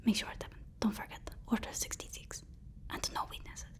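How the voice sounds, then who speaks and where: Whispering woman as fussy, obsequious villain's assistant. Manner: deferential, halting, repeats phrases. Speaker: ASMR performer. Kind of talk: whispered ASMR roleplay.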